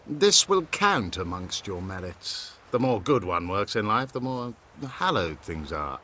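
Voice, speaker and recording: Casting: self-righteous fantasy game priest, ringing forceful tone, grandiose and condescending delivery. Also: male voice, on the low side, sing-song, a little slow, a little hiss or hum, heavily acted. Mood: pompous, preachy. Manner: haughty, preachy, grandiose. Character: pompous, self-righteous male priest of a fire cult. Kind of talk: video game character acting.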